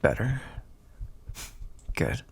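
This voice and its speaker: A man playing a smug, predatory vampire in an ASMR roleplay, taunting and flirtatious, with a gravelly, breathy voice. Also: very slow, a little hiss or hum. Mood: hungry, pleased. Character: predatory, teasing male vampire, smug and seductive.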